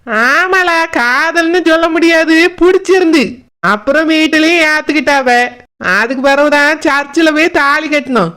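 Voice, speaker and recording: A high-pitched old village grandmother played by a woman chats in a Kanyakumari Tamil accent. She is proud and teasing, telling stories in colloquial cartoon-comedy style. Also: moderate pace, pushed and bright. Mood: fondly reminiscing. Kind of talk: comic cartoon dialogue, storytelling.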